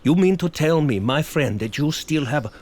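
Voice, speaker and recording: An actor playing a learned older professor and vampire hunter in a gothic audio drama, grave, probing and deliberate. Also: male voice, fairly high pitch, expressive, darkish tone. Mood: insistent, probing. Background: music.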